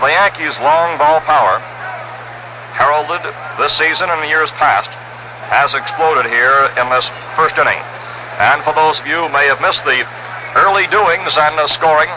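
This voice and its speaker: An American man calling baseball play-by-play in a measured, descriptive 1960s radio style, heard on an old broadcast recording. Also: fairly high pitch, background noise. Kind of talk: 1960s radio sports commentary.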